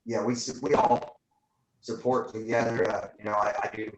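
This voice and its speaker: A man's voice: fairly low pitch, flat delivery, normal speed. Polite young man in an echoey room. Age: young adult. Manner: polite and folksy, with fillers and many "yes, ma'am" replies. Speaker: witness, father in a custody case. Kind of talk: courtroom testimony on a video call. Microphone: video call.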